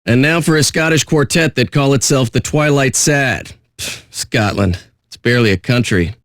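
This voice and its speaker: An actor playing a jaded pirate radio DJ with a sardonic, blunt, profane way of talking. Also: male voice, mid-range voice, normal speed, a bit bright, no echo, clean studio sound, close to an everyday voice. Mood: dismissive. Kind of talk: irreverent radio DJ patter.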